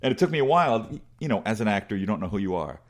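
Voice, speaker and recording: A man's voice: lowish voice, sing-song, very fast. Big-voiced man telling rambling stories. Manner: rambling, restarts often, self-deprecating. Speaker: interview guest. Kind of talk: casual conversational storytelling.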